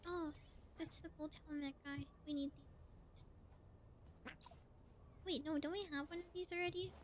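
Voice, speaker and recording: A woman's voice: high-pitched, fairly even intonation, normal speed, slight background noise. A woman livestreamer giving casual gameplay commentary, thinking aloud in a playful, exclamatory way. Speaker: livestreamer playing a game.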